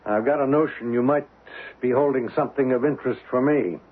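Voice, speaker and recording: An actor playing a cocky American blackmailer in a radio drama, brash, terse and calculating. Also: male voice, medium pitch, brisk, natural voice. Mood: smug, threatening. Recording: muffled phone-like old radio recording.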